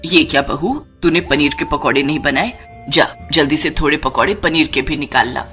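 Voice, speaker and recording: Storybook mother-in-law, played by a woman: older, low-pitched, bossy, penny-pinching, scolding, music underneath. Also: moderate pace, bright, forceful tone. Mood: demanding. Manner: scolding, demanding.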